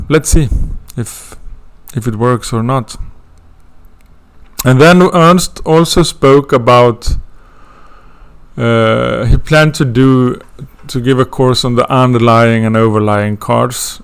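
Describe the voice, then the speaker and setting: A man's voice: mid-range voice, fairly even intonation, takes it slowly, clear, resonant tone. A man from Sweden with a Swedish accent, introducing an astrology course in a hesitant way, with many fillers and restarts. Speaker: astrology teacher.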